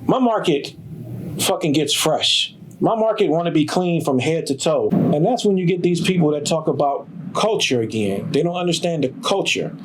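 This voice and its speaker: A middle-aged man, speaking in a slightly higher register than most men. He talks in a streetwise, emphatic and profane way, as in a documentary interview. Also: lively intonation, moderate pace, background noise. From Detroit, USA. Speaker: sneaker store owner.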